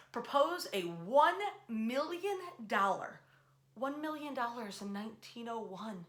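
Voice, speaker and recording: A woman hosting a history storytelling series, talking in a chatty, emphatic way with dramatic pauses and drawn-out words. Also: fairly high pitch, bright, forceful tone. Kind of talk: animated storytelling to an audience online.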